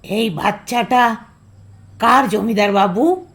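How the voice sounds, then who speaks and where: A woman playing a menacing, accusing village tantric sorceress in a horror audio drama, with ominous, drawn-out taunts. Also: a little high, gravelly. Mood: ominous, demanding.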